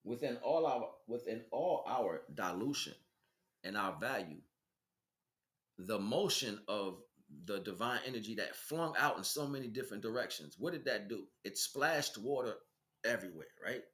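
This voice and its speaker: A man's voice: on the low side, lively intonation, normal speed, a bit bright. A man giving an improvised spiritual lecture in an emphatic, preaching way, repeating phrases and posing rhetorical questions. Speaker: spiritual teacher.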